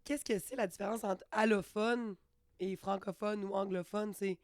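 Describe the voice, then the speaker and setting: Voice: mid-range voice, fairly even intonation, normal speed, no echo, studio quality. A podcast host from Quebec City with a Quebec French accent, asking short, curious questions in a casual chat. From Quebec City, Canada.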